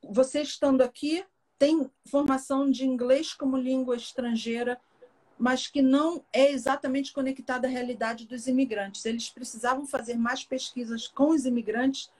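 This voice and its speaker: A woman from Brazil speaks over a webcam video call as an interview guest. Her voice sits a little high, and she explains her points in a thoughtful way, like a teacher. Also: steady tone, normal speed. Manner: thoughtful, explanatory, warm farewells. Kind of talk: casual live video chat.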